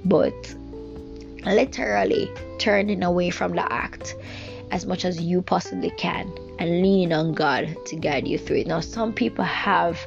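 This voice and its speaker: Jamaican woman, low and croaky, preaching in an informal, rambling style over background music. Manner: earnest, rambling, with frequent fillers. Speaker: devotional speaker. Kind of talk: informal devotional talk. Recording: dull lo-fi recording with music behind.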